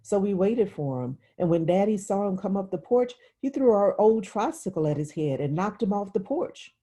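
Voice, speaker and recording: A woman plays an upset young girl in an audiobook story, confiding in plain childlike words. The voice is soft and very mellow with a husky edge, reluctant and easily exasperated. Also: mid-range voice, expressive, moderate pace, voice pushed up, lightly acted. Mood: agitated recounting. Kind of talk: literary audiobook dialogue.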